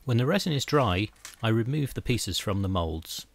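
A middle-aged man with a slightly low voice. He explains each workshop step in an even, methodical voice-over, like a careful host of his own woodworking channel. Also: normal speed, slight background noise. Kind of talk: scripted how-to voice-over.

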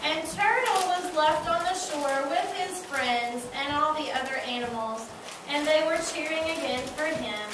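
A woman teacher telling a children's story slowly and theatrically, with emphatic repetition and drawn-out words. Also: sits high. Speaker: teacher narrating a children's puppet show. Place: classroom, recorded from across the room. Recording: echoey classroom, distant camera mic, noisy.

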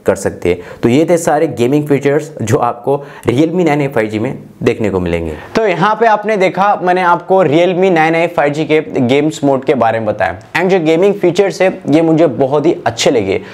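A man's voice: fairly high pitch, a little mellow. Young Indian man explaining step by step. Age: 20s. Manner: explanatory, step-by-step, mixing in English tech terms. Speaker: tech reviewer and channel host. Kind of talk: scripted-sounding phone feature walkthrough.

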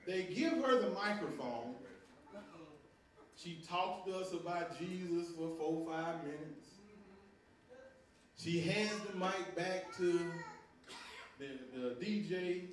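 A young man preaches in a folksy, storytelling way, drawing call-and-response from the congregation. His voice sits high for a man and moves at an unhurried pace. He is captured from far off in a big, echoey sanctuary, with organ music behind him. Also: lively intonation. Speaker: guest preacher. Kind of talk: sermon with sung opening. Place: large church sanctuary. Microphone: stand mic at pulpit, far camera.

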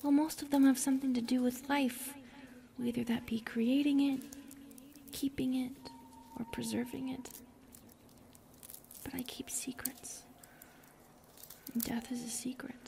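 ASMR roleplay: woman to play lonely, gentle forest spirit, young female. Soft hesitant whisper, unhurried, dark-toned and tender. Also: a little high, a little hiss or hum.

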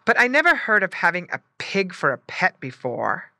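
A woman voicing a sweet, excitable young girl in a children's storybook. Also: on the low side, moderate pace, dry recording, full character voice. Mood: curious.

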